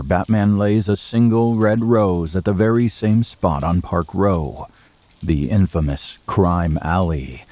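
A man narrating an audio drama from a script in a measured, serious, literary manner. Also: sits low, mellow.

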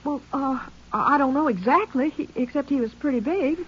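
Nervous young witness, high voice, stammering, hesitant, dull old radio recording. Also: female voice, a little fast, a bit bright. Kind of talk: 1950s radio drama acting.

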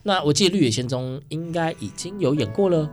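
A man's voice: fairly high pitch, expressive, quick pace, a little mellow, soft background music. A man with a Taiwanese accent hosting a casual interview, chatty and often checking for agreement. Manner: chatty, often seeks agreement with tag questions. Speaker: radio show host.

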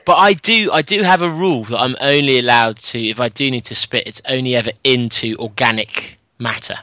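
Man, podcast co-host, bright voice, dry close sound, frank and jokey. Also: medium pitch, fairly quick, dry recording. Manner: laughing, frank explainer. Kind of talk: casual chat.

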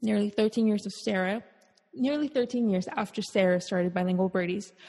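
A woman hosting a podcast, reading out a long guest introduction at an even pace and dropping in Spanish words. Her voice is breathy. Long reverb trails after her words. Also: mid-range voice, brisk. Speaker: podcast host. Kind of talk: scripted intro and interview.

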